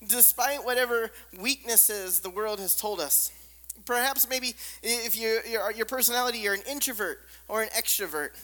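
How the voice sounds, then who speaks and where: A man with a squeaky voice preaching a sermon, hammering points through repetition and dropping to soft, hushed lines. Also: normal speed. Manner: emphatic repetition, drops to soft near-whispers. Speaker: pastor. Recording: long reverb of a large hall.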